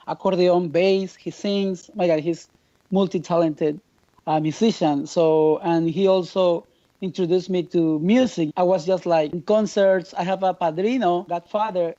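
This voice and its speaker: A man from Mexico with a Mexican accent, a native Spanish speaker, speaking haltingly as a percussionist guest on a video call. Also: high voice, warm tone, highs cut off. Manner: halting, searching for words. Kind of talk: casual remote interview.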